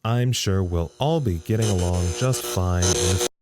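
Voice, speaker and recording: An actor playing an earnest, chatty facility radio operator, giving a rambling, matter-of-fact log in an audio drama. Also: male voice, deep voice, normal speed, darkish tone, a little hiss or hum, lightly acted. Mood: wry, pleased.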